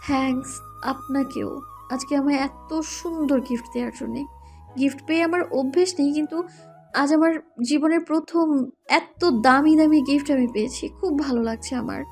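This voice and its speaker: Demure, polite young bride, played by a woman, very high soft voice, romantic audio drama. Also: normal speed, faint music behind. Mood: grateful, shy. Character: shy, polite young wife.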